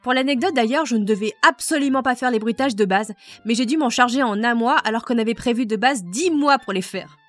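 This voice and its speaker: A woman's voice: fairly high pitch, fairly quick, a bit bright, soft background music. A woman answering fan questions about a project in a frank, wry manner. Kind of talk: casual Q&A answers.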